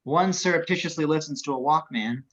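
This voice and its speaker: Slightly dull-sounding, higher-voiced male narrator. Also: fairly quick. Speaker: narrator of stage directions. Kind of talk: scripted narration, reading screenplay action.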